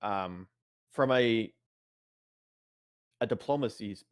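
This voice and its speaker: A man's voice: lowish voice, takes it slowly, clear, resonant tone. A man playing a tabletop roleplaying game, bantering and discussing plans casually.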